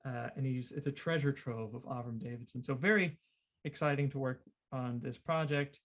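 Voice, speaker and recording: A man's voice: mid-range voice, steady tone, moderate pace, fairly bright tone. A man hosting a casual, chatty podcast, enthusiastic and inclined to repeat his phrases. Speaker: podcast host.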